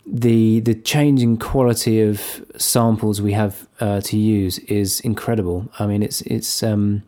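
A man speaking casually as an interview guest, in a relaxed conversational way. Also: lowish voice, unhurried. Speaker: guest composer.

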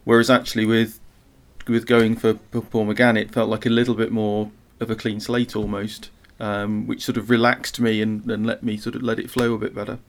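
Low, even-toned, creaky male writer. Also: normal speed. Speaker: short story writer. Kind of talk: casual interview talk about writing.

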